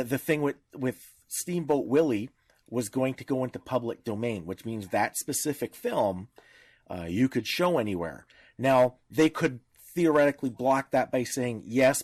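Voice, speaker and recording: A man's voice: mid-range voice, expressive, moderate pace, a little mellow, dry recording. A man speaking as a podcast panelist in informal discussion, explaining in a didactic way with examples.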